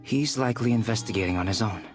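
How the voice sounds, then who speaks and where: Casting: male actor as courteous calm intellectual for a game, somewhat deep voice, measured speech. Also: over music, close to an everyday voice. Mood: composed. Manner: measured, polite. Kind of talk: video game dialogue acting.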